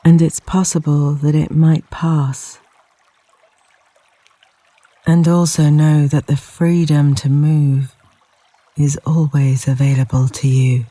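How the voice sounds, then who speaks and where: A man guides a yoga nidra meditation in a very soft, dark and relaxed voice, set slightly high for a male speaker. He speaks slowly and soothingly, leaving long pauses. The voice is close and dry, with flowing river water behind it. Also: no echo. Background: flowing river water sounds.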